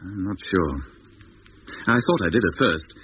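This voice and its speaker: An actor playing an earnest, sometimes dazed moon expedition captain in a 1950s radio drama. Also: male voice, low voice, measured pace. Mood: dazed, wondering. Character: rocket ship captain, dazed yet commanding male moon explorer. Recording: dull, hissy 1950s radio recording.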